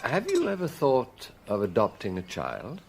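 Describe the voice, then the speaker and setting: An actor playing a middle-aged British chief inspector in a radio drama, fatherly and reflective, speaking in a measured, musing way. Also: mid-range voice, huge pitch swings, gravelly, slight background noise, exaggerated voice. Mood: gentle, businesslike. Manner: measured, musing, gently probing. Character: veteran male police chief inspector, fatherly, quietly reflective.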